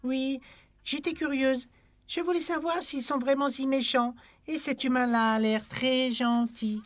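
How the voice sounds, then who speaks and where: A woman's voice: high-pitched, fairly even intonation, a little slow, no room sound. A woman playing a curious, forthright young girl from an underwater kingdom in a dubbed cartoon. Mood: earnest, curious. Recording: music bed under the dialogue.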